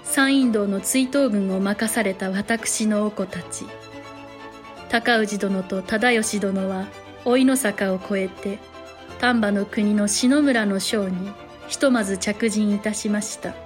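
Noblewoman narrator female actor, period audio drama: composed, courteous, unhurried, mid-range voice over light music. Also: medium pitch, lightly acted. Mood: composed, solemn. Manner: courteous, formal, measured storytelling. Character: dignified samurai mother recounting her sons' history.

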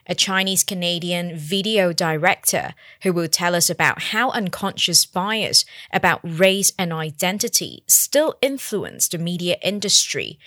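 A woman hosting a podcast, reading measured, scripted narration. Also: lowish voice, bright, forceful tone, no echo, clean studio sound. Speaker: podcast host.

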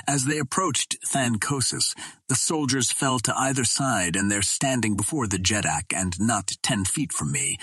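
A man's voice: on the low side, sharp tone, slightly rough, no echo. A man reading a pulp fantasy adventure audiobook as scripted narration.

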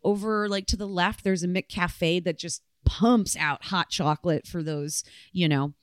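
Woman co-host for a chatty podcast, informal and colourful, smooth tone, no room sound. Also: fairly low pitch, fairly even intonation, a little slow, dry recording, clean studio sound. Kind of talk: casual chat.

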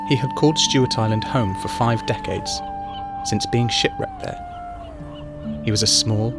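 A man reading a long-form news story aloud as scripted narration, in measured storytelling. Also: wildly swooping pitch, over loud music. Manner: measured storytelling, raises pitch for quoted cries.

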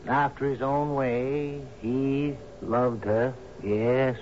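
A man's voice: medium pitch, steady tone, drawn-out words, a little mellow. An actor in a radio drama playing an anguished, deferential friend confessing to his sea captain. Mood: sorrowful, grave. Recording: dull, noisy old radio broadcast.

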